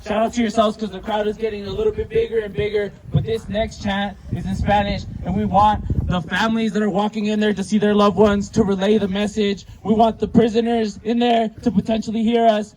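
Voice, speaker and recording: A man's voice: sits high, a little mellow, a little hiss or hum, low-quality recording. A man hosting an outdoor protest rally, leading chants and introducing speakers. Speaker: rally emcee.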